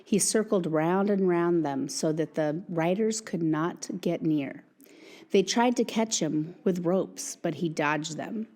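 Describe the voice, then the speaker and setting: A woman reading a picture book aloud in a measured, careful way. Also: fairly low pitch, a little mellow.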